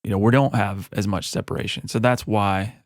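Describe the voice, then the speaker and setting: A man with a lowish voice talks through his mixing session in a relaxed, conversational way, leaning on easy fillers. He is close on a broadcast studio mic in a home studio, and the sound is dry, with no room around it. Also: a little fast, no echo. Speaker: music producer and recording artist. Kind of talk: mixing tutorial walkthrough. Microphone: broadcast studio microphone.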